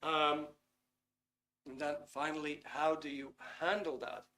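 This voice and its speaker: A man with a Dutch accent hosting a live online webinar in a casual, chatty way, often pausing with ums. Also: fairly high pitch, little pitch movement, pushed and bright, slight background noise. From Netherlands. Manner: chatty, reads out chat comments, frequent ums. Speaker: webinar host.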